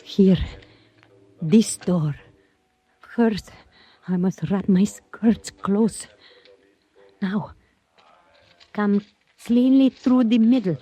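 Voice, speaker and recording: A woman's voice: medium pitch, very slow, long pauses, warm tone. An actress playing an alluring, world-weary waterfront woman in theatrical, intimate old-time radio drama style. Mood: urgent, guiding.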